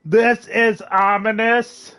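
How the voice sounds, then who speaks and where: A woman's voice: a little high, little pitch movement, takes it slowly, fairly bright tone, clean tone, soft background music. A woman streaming a game, giving casual live reactions and commentary as she plays.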